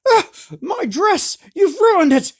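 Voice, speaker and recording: A narrator voicing a shrill, demanding, self-important noblewoman who screeches her complaints. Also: very animated, slow, darkish tone, slightly rough, exaggerated voice. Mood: shrill imperious. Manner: screeching, imperious.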